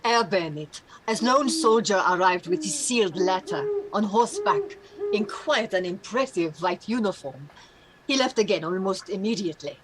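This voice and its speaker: An actress playing a sensible, caring governess who speaks in a measured, formal way in a radio drama. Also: female voice, mid-range voice, expressive, a crowd in the background, lightly acted, poor audio. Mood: formal, reporting. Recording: clear noise and ambience behind.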